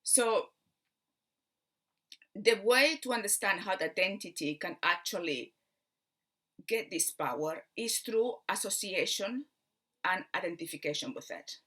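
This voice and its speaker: A woman's voice: mid-range voice, animated, a little slow, long pauses, pushed and bright. A woman from Barcelona with a Spanish accent, a mind coach giving an earnest spiritual teaching talk, explaining step by step with frequent fillers. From Spain.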